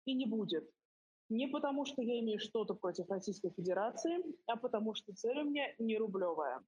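A middle-aged woman, a financial advisor, walking through her investing approach point by point in a live voice chat, often pausing on "uh" and "mm". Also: medium pitch, darkish tone, husky. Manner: methodical, structured into numbered points with frequent "э" and "м" fillers. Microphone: voice chat over phone or computer. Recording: dull lo-fi voice chat audio.

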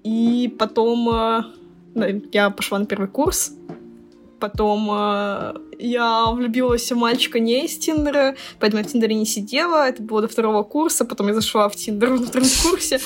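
A woman of twenty co-hosting a podcast in casual, candid chat, with slangy wording and a teasing streak. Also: on the high side. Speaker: podcast co-host. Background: lo-fi music.

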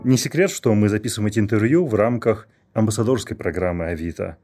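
A man hosting a podcast interview, asking questions in an appreciative, relaxed way. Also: fairly low pitch, quick pace, soft background music. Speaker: podcast host.

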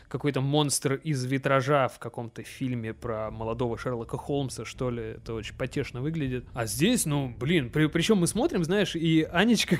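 A young man co-hosting a podcast, chatting casually with lots of fillers and quick restarts. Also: medium pitch. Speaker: podcast co-host. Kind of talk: casual chat.

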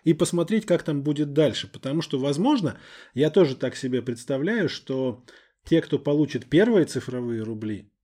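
A man's voice: a little high, lively intonation, moderate pace, dark tone. A man chatting casually as a podcast co-host, talking in little imagined scenes like a storyteller. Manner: storytelling, acts out imagined remarks. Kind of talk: casual conversational chat. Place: podcast recording studio. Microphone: close studio microphone.

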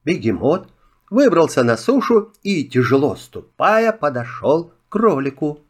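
A man tells a children's fairy tale at an unhurried, careful pace. His fairly high voice rises and falls with lively expression. It is clean, scripted storybook narration.

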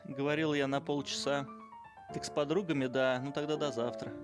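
A man's voice: medium pitch, flat delivery, a little fast, over music. A middle-aged man, a father, talking casually and jokingly about his daughter's podcast, rambling as he goes. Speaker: guest parent. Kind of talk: casual home recording.

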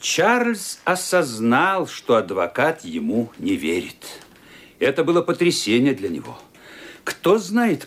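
A man giving dramatic scripted narration for a radio play in a theatrical, expressive manner. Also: fairly high pitch.

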